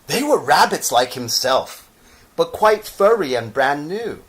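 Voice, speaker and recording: A man narrating a children's story expressively, with theatrical phrasing and pauses. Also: on the high side, very animated, fairly bright tone.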